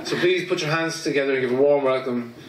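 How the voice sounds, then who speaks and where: A man speaking into a handheld mic on a pub stage, introducing an act in a relaxed way. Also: medium pitch, fast talker, heavy background noise. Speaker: MC and performer. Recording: echoey pub from far off.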